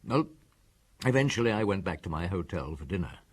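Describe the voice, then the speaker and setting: A calm, deep-voiced inspector. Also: male voice, fairly quick, breathy edge, heavily acted. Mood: narrating. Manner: calm, probing, dryly amused. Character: shrewd, easygoing middle-aged police inspector. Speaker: Inspector Maigret. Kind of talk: radio drama acting and narration.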